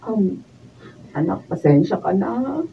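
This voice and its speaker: A woman's voice: mid-range voice, very animated, slow, vocal fry, exaggerated voice. An actress playing a humble, pleading poor mother in a Filipino radio drama. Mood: tender. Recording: dull distant mic, faint noise.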